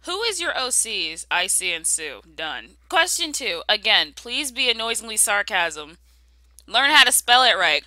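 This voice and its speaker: A woman's voice: on the high side, bright, forceful tone, dry recording. A woman answering viewer questions in a casual, sarcastic, slangy way, often exclaiming. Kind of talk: casual sassy Q and A commentary.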